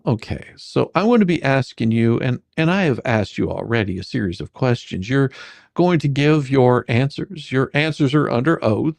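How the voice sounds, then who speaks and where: A performer doing a comedic dramatic reading as a rambling, halting, faux-folksy lawyer questioning a witness in a deposition. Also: medium pitch, animated, gravelly, darkish tone, no echo. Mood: rambling, formal. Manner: halting, repetitive, mock-sarcastic, stumbling over questions.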